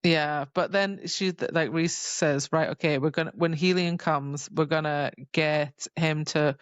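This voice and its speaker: A woman's voice: low-pitched, steady tone, measured pace, no room sound. A woman co-hosting a book podcast, chatting casually and retelling scenes informally with exclamations and quoted lines. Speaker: podcast co-host. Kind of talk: casual book discussion chat. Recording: dry, slightly dull lo-fi sound.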